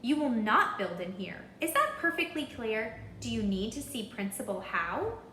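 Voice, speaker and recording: Woman acting a high, stern, dramatic schoolteacher. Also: sing-song, normal speed, pushed and bright, reverberant, a little hiss or hum. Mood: scolding. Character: strict, severe female second-grade teacher, scolding and easily panicked.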